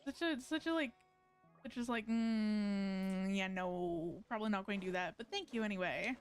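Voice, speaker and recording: A woman's voice: mid-range voice, moderate pace, clean tone, soft background music. A woman streaming a game with casual, colloquial commentary, rambling and often interrupting herself mid-thought. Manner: rambling, colloquial, frequent hedging and self-interruption.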